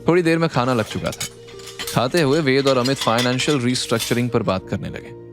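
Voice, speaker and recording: A man's voice: medium pitch, a little fast. Dramatic male storyteller over music. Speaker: narrator. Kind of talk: dramatic scripted story narration.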